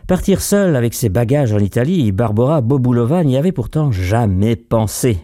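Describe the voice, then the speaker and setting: A man hosting a radio program, reading a scripted feature in an even, measured way. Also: mid-range voice, no echo, clean studio sound. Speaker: radio host.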